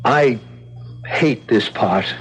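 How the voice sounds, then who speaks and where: A man's voice: medium pitch, extremely slow, a bit bright, breathy edge, exaggerated voice. An actor playing a dry, skeptical male prison guard, a subordinate who talks tersely, in an old-time radio drama. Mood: grim, doubtful. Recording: dull old radio recording.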